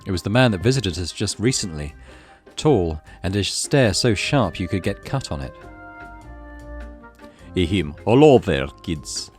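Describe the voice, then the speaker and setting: Male narrator, low register, highly expressive, suspenseful horror game reading over music. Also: normal speed. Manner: theatrical, suspenseful. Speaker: gaming channel host reading aloud. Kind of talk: dramatic scripted narration of a horror visual novel.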